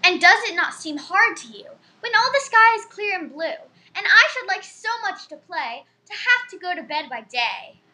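A female co-reader speaks on a children's story show. Her voice is high and very lively in pitch, with a bright edge. She recites simple verse and tells jokes for young listeners. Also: normal speed, few pauses. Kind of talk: poem recital and joke telling for children.

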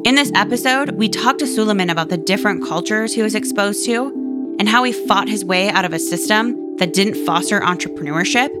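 A woman's voice: mid-range voice, brisk, loud music behind the voice. A woman hosting an interview podcast, friendly and upbeat. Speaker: host.

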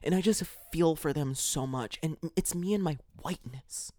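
A man mockingly voicing a preachy, self-important woman who gushes in a breathy, high-pitched way. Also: moderate pace, dark tone, no echo, clean studio sound, lightly acted. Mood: gushing.

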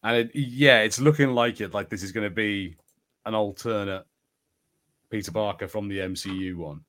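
Guy co-hosting a podcast, kinda low voice, casual chatty style. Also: normal speed, a bit bright. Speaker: podcast co-host. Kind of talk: casual pop culture discussion.

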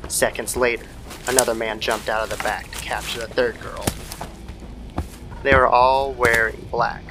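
A man's voice: medium pitch, flat delivery, moderate pace, background noise. A man narrating a scripted horror story in the style of old-time radio. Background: background music.

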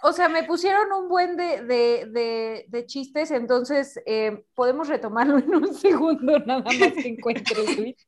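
A woman's voice: sits high, measured pace, hardly any pauses. A Mexican woman co-hosting a live stream, telling jokes and fumbling through the chat with giggles. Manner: giggly, flustered. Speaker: co-host of a live stream show.

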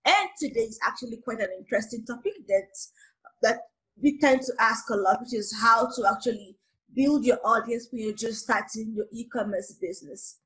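Playful high-voiced woman host. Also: moderate pace, a bit bright, poor audio. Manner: playful, teasing, many fillers. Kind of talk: casual webinar interview.

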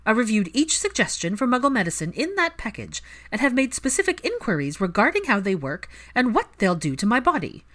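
A woman voicing an aloof, proud aristocratic mother in an audiobook story, speaking in a measured, emphatic, haughty manner. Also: mid-range voice, sharp tone, pitched above the performer's own voice, exaggerated voice.

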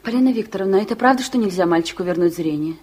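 An actress playing a shy, tender young sweetheart, gentle and easily moved, in a 1960s Soviet radio play. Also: female voice, mid-range voice, lively intonation, racing, lightly acted. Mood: concerned. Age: young adult.

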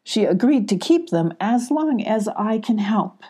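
Lady doing a polite plodding boy beaver from a kids book, eager to help, husky. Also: medium pitch, expressive, a little slow, dark tone, pitched above the performer's own voice. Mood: helpful.

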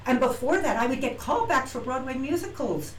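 A middle-aged woman, an actress and acting teacher, telling her story as an interview guest, animated and acting out quoted lines with frequent restarts. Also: high voice, brisk. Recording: roomy, distant from mic, faint noise.

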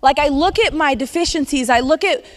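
A woman in her thirties preaches in a chatty, upbeat way, now and then breaking into a laugh. Her voice sits a little high. The sound carries a long reverb, as in a church hall. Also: brisk. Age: 30s. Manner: conversational, upbeat, laughs between points. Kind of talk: sermon.

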